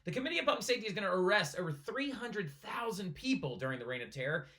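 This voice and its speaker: Guy teacher talking fast thru a history lesson, kinda high voice, keeps saying right?. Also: big pitch swings, sharp tone. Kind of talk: recorded lecture for a flipped class.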